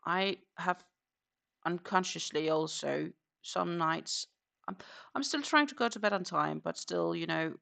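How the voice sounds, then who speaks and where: A woman's voice: lowish voice, a little slow, vocal fry, a bit bright. A woman with a Danish accent chatting casually on a crochet live stream, counting her stitches aloud and answering viewers.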